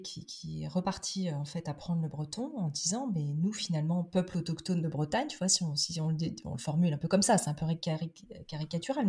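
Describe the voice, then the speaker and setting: A woman's voice: lowish voice, darkish tone. A middle-aged woman giving a conversational interview as a podcast guest, thoughtful, with many hesitations and restarts. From France.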